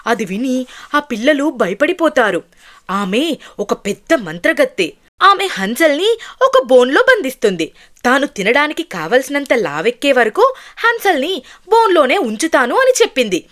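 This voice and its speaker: A woman's voice: high voice, very animated, normal speed, a bit bright. A woman narrating a scripted fairy tale for children as a storyteller.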